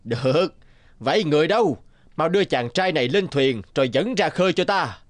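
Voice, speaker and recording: A man's voice: a little high, expressive, brisk, no echo, natural voice. An actor playing a stately old king in a fairy-tale cartoon, with a pompous, commanding delivery. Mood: stern. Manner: pompous, authoritative. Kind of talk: animated fairy-tale dubbing. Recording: music bed behind the voice.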